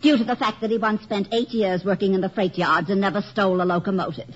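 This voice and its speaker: A muffled old radio recording of an actress as a woman professor. She is poised and breezy, with a slightly husky voice and a dry, articulate humor. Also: mid-range voice, fairly even intonation, fast, lightly acted. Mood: wry. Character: poised, wry female political science professor. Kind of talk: 1950s radio comedy acting.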